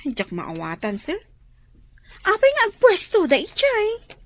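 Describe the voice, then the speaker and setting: An inquisitive young woman in a radio drama. Her Filipino-accented voice is high and swings widely in pitch. The sound is close and dry. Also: huge pitch swings, measured pace, fairly bright tone, dry recording, full character voice. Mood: puzzled, anxious. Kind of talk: Ilocano radio drama acting.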